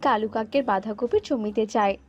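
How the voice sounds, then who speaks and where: A woman's voice: on the high side, normal speed, muffled recording. A woman reading scripted narration for a cartoon story as its narrator. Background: music.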